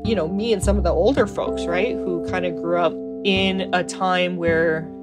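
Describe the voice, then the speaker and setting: A woman's voice: lowish voice, fairly even intonation, moderate pace, loud music behind the voice. An American woman in her thirties from Hawaii, telling personal stories in a casual, reflective, conversational way in an interview. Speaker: interviewee, hiker and educator.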